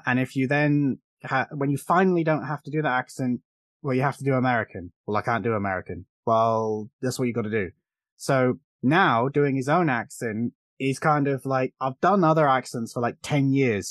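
A man's voice: medium pitch, dry recording. Guy podcast co-host talking films, opinionated, explains stuff, really dry close recording. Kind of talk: casual film discussion.

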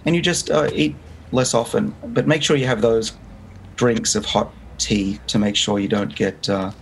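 Australian man in his fifties, lowish voice, earnest explaining, slight noise. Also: steady tone, normal speed, vocal fry, rough recording. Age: 50s. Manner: earnest, explanatory, frequent restarts. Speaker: guest researcher. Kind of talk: remote interview. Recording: faint background noise.

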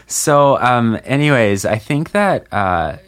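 A man's voice: medium pitch, expressive, slow pace, fairly bright tone, clean tone. A man hosting a podcast, chatting casually and rambling with lots of fillers and jokes. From Maryland, USA. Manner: rambling, filler-heavy, joking. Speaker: podcast host. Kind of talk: casual chat.

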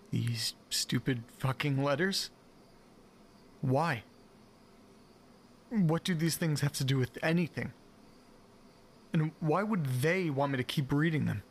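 An actor playing a bewildered, frustrated man in an audio drama, thinking aloud haltingly as he records a confessional diary. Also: mid-range voice, slight background noise. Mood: frustrated, baffled. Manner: halting, brooding, swearing, repeating words. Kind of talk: audio drama monologue, naturalistic.

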